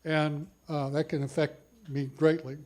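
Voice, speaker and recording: A man's voice: on the high side, level tone, unhurried, darkish tone. An older man with a big voice, telling his own cancer story in a folksy, self-deprecating way to a conference audience. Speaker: cancer survivor speaker. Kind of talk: personal story talk. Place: conference hall.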